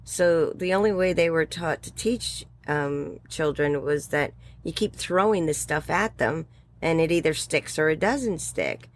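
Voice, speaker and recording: A woman's voice: deep voice, unhurried. A woman in her sixties, a guest educator talking through her thoughts in an interview with frequent ums and little laughs. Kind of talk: conversational interview.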